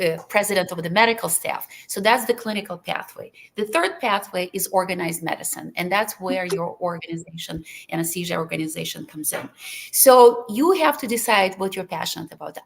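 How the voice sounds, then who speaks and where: A woman's voice: fairly low pitch, gravelly, fairly bright tone. A Russian-speaking woman from Lithuania, talking earnestly on a webinar panel with many fillers and restarts over a video call. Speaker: panelist anesthesiologist. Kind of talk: webinar talk.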